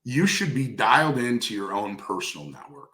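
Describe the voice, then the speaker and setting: A man's voice: medium pitch, animated, normal speed, sharp tone. A man speaking conversationally in an industry interview, coaching emphatically and repeating key phrases for stress. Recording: roomy, echoey sound.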